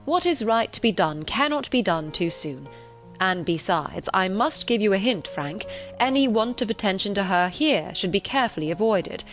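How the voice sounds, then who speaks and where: Lady reader doing a hearty middle-aged dad, brisk and sure of himself, old novel audiobook, music playing under. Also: medium pitch, lively intonation, lightly acted. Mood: urging. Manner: hearty, decisive, urging. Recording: relaxing music behind the voice.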